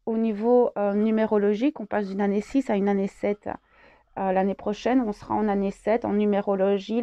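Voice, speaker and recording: A woman's voice: medium pitch, dark tone, smooth, muffled recording. A French woman with a head cold, channeling a spiritual message, hesitant with many fillers and repeated words.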